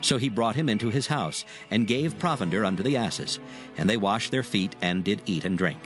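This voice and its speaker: Expressive male scripture narrator. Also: fairly low pitch, moderate pace, over music.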